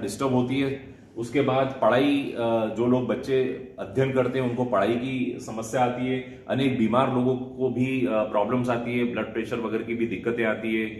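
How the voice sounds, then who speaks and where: A man's voice: mid-range voice, steady tone, moderate pace, a little mellow. An Indian man giving an explanatory law lecture in a didactic way, restating terms in two languages. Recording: echoey room, faint background noise.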